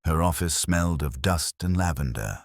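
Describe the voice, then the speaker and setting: A man reading scripted literary detective fiction as narrator, in a measured, formal and brooding manner. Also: very deep voice, expressive, creaky, dry recording, clean studio sound.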